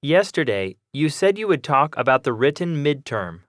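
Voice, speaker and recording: A man's voice: on the high side, very animated, moderate pace, dry recording. Guy doing an eager student, polite, asks plain questions, dry recording. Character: young adult male student, eager, polite. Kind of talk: scripted classroom dialogue.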